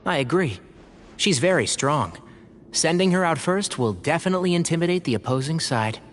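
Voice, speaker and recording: A man voicing a loyal, eager companion in a game, speaking in a bright, keen way. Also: on the high side, lively intonation, moderate pace, a little hiss or hum, lightly acted. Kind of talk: game voice acting.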